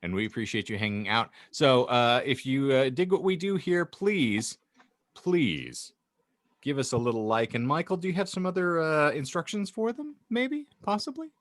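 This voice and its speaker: Man, comedy podcast host, lively melodic swings, theatrical, florid phrasing, dry sound. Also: a little high, normal speed, no room sound. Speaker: podcast host and fan fiction reader. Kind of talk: theatrical comic reading and banter.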